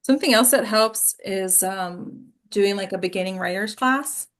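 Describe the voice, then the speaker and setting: A woman's voice: medium pitch, moderate pace, crowd noise. A woman teaching a class as an acting coach, explaining informally and often checking in with the listeners. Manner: explanatory, checks in with "okay?" and "right?".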